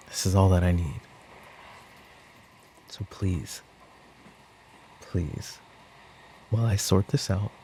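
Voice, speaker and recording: A man playing a tired, guilt-ridden mafia boss and worried father in a roleplay ASMR audio drama, brooding, with sighs and pauses. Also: lowish voice, mellow, a little hiss or hum. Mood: worried, pleading.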